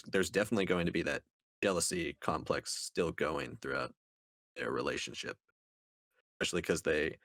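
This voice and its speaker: A man with an extremely low, deep voice speaks as a podcast co-host in a casual discussion. His delivery is thoughtful and a little halting, and the sound is close and dry. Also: a bit bright, no room sound. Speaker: podcast co-host reviewing books.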